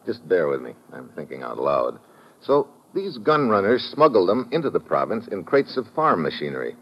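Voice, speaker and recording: A tough American government agent in an old radio thriller. His low voice is animated and clipped, full of wisecracking slang. The sound is muffled and noisy. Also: moderate pace, husky, heavily acted. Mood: reasoning. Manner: hard-boiled, slangy, clipped. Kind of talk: old-time radio detective acting and narration.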